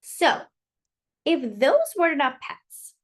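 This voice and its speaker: A woman's voice: high-pitched, huge pitch swings, slow. A woman reading a picture book aloud to young children, expressively, with chatty asides to her listeners about the pictures.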